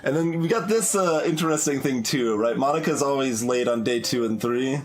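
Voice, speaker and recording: A game streamer in casual playthrough mode, thinking out loud in a halting way. The voice sits at a medium pitch, with clear music playing behind it. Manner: halting, musing aloud. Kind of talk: casual live playthrough commentary.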